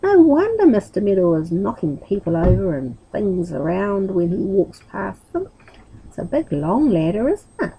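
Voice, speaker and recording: A woman's voice: mid-range voice, big pitch swings, moderate pace, mellow, husky, poor audio. A woman reading a children's story aloud expressively, with chatty asides to a young listener. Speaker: storybook reader.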